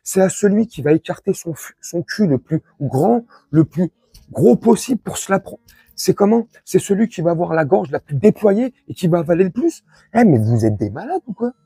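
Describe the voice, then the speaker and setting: A man from France with a husky voice, giving an informal, crude and sarcastic opinion rant to his followers as an online commentator. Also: sits high, sing-song, normal speed, warm tone, no room sound. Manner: crude, slangy, sarcastic, indignant.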